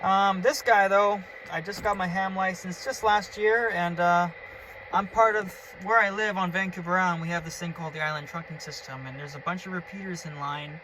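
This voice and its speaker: A man's voice: sits high, noisy background. A man giving a casual, chatty, enthusiastic show-and-tell as a hobbyist vlogger. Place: in and around a pickup truck.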